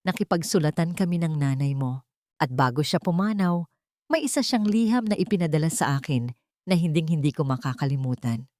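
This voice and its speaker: A woman's voice: deep voice, lively intonation, fairly quick, mellow, no echo, studio quality. Woman voicing a worried, kindly elderly aunt. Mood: grateful, solemn. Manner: concerned, earnest.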